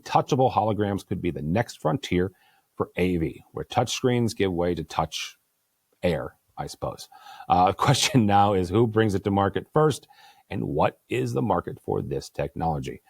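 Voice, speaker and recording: A deep-voiced man hosts a news roundtable in an easygoing way, dropping joking asides between stories. His voice sits fairly low. The sound is close and dry, with no room around it. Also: lively intonation, moderate pace, creaky, a little mellow, no echo. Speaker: host. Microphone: good studio microphone.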